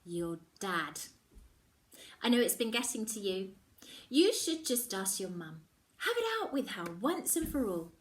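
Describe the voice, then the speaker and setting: A woman's voice: mid-range voice, sing-song, a little slow, echoey room. Woman voicing a schoolgirl friend, insistent, emphatic, blunt, storybook dialogue, a little distant. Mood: urging, blunt.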